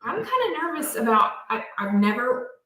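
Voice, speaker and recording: A woman's voice: fairly high pitch, normal speed. Chatty woman in her thirties, roomy and distant. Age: 30s. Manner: chatty, excitable, storytelling. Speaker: livestream co-host. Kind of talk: casual chat on a homestead livestream.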